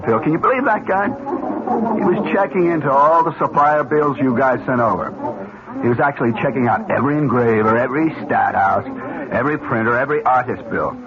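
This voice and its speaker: A dull old radio drama recording with clear crowd noise behind. An actor plays a rueful American company man of middling pitch, plain-spoken and slangy, pressing each point with exasperation. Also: close to an everyday voice. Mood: exasperated, disbelieving. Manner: colloquial, emphatic, exasperated. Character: rueful, plain-spoken male corporate buyer on the take.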